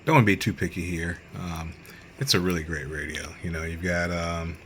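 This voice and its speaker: A man's voice: low-pitched, a little fast, fairly bright tone, a little hiss or hum. A man giving a casual, rambling, unscripted hands-on radio gear review in a matter-of-fact way. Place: radio bench, indoors.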